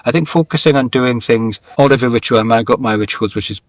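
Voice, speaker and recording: A man's voice: mid-range voice, quick pace, dry recording, studio quality. A man speaking as an interview guest in a conversational style, thinking aloud and often repeating his words. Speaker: guest, screenwriter and novelist.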